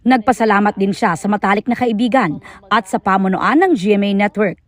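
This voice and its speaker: A woman's voice: mid-range voice, fairly quick. A Filipino woman presenting entertainment news in a scripted narration style.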